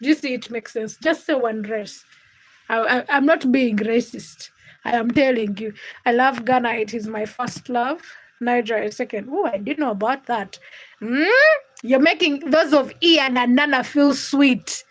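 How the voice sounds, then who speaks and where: A woman's voice: a little high, moderate pace, low-quality recording. A young woman from Uganda and Kenya hosting a casual livestream, reading out viewers' comments and teasing them by name. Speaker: livestream host.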